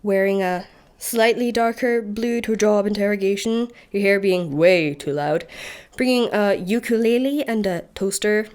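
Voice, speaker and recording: A woman's voice: medium pitch, normal speed, a little mellow, smooth, natural voice. Woman playing a sinister female job centre officer, Scottish, officious, scornful, cutting, comic radio play. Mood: icy menace.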